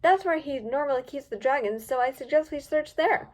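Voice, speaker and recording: A woman's voice: high-pitched, brisk, pitched above the performer's own voice, close to an everyday voice. A woman voicing an eager, easily distracted young female pony in a storybook reading. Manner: eager, pleading, bubbly.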